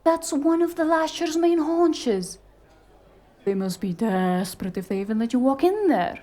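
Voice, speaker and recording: A woman playing a sharp, street-savvy, exasperated fixer in a cyberpunk noir audio drama, sardonic and emphatic. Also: sits high, expressive, a little slow. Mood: incredulous, exasperated.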